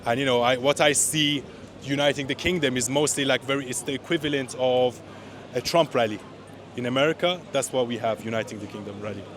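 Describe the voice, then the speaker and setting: An earnest man from Iran speaks his mind in an outdoor interview at a street rally. His pitch is mid-range for a man. He is opinionated and often breaks off to restart a phrase. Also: fairly quick, fairly bright tone.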